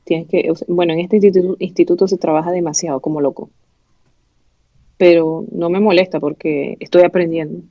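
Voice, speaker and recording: A woman's voice: fairly low pitch, steady tone, fairly quick, dry recording. A Venezuelan woman in her thirties, a resident doctor, speaking candidly in an interview with many fillers and restarts. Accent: Venezuelan Spanish. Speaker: pathology resident doctor, guest. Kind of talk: casual interview chat. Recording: dull lo-fi sound.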